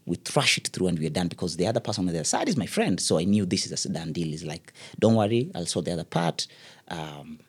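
A middle-aged man, an event MC telling a story as an interview guest, lively and acting out the voices he quotes. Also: deep voice, fast, breathy edge. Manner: lively, acts out quoted voices, laughs often.

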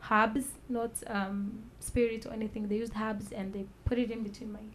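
A woman telling her own story as a talk-show guest, soft-spoken and measured, pausing with fillers. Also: on the high side, little pitch movement, a little hiss or hum. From Kenya. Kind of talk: personal testimony in a talk show.

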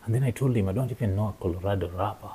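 Husky, mellow-voiced male interviewer. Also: on the low side, very fast. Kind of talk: casual conversation.

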